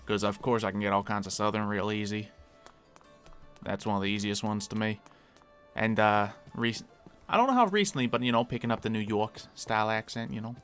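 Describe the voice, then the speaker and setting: A man's voice: on the low side, animated, a little fast, fairly bright tone, soft background music. A man with a slight Philadelphia accent chatting casually on a live stream while he plays, musing aloud and laughing easily.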